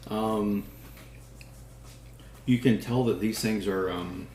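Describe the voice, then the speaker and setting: A man's voice: on the low side, steady tone, slow pace, a little mellow, a little hiss or hum. A man running a tabletop game as game master, chuckling often and hesitating with frequent ums, recorded in an echoey room. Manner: laughing, hesitant, lots of ums.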